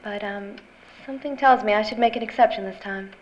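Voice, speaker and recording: A woman's voice: mid-range voice, fairly quick, very noisy, natural voice. An American woman playing a polite, prim young aspiring actress who loves gossip, in a period radio drama. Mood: flustered, coy. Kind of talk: 1940s-style radio drama acting. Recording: dull lo-fi sound, loud music behind.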